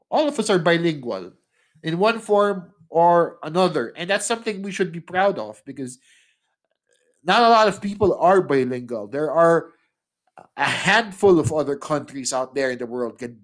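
A young Filipino man, a native English speaker, hosting a solo podcast and speaking in a conversational way, often tagging questions onto the ends of his sentences. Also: fairly high pitch. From Philippines. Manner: conversational, frequent tag questions, laughs mid-sentence. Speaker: podcast host. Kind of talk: solo opinion monologue.

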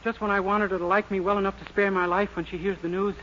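An actor playing a naive, earnest, boyish young lodger in a 1940s radio sitcom. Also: male voice, sits high, flat delivery, fast, sharp tone. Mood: sheepish. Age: young adult. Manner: earnest, innocent, sheepish. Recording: muffled, very noisy old radio recording.

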